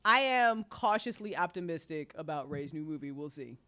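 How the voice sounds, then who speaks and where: A woman's voice: low voice, animated, moderate pace, a bit bright. A woman hosting a casual fan panel, talking bluntly with slang and swearing, repeating phrases for emphasis. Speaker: panel host.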